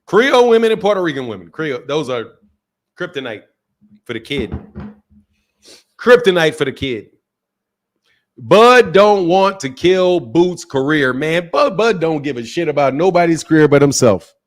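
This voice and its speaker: A man with a fairly high-pitched voice hosts a loose live-stream about boxing. He reads viewer comments aloud and answers them, talking in an animated way. He swears freely and repeats his points. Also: moderate pace, pauses often. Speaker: boxing talk show host reading chat. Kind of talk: casual live-stream chat.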